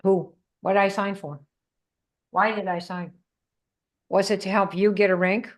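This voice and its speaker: A woman's voice: mid-range voice, steady tone, a little slow. A woman from the Netherlands coaching a live group on sales, emphatic and quizzing her audience. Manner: emphatic, asks the audience questions.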